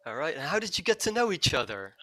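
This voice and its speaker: Guy hosting a video call interview, bright sharp voice, keeps restarting. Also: fairly high pitch, very animated, fast. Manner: friendly, restarts often. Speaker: host. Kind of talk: online interview.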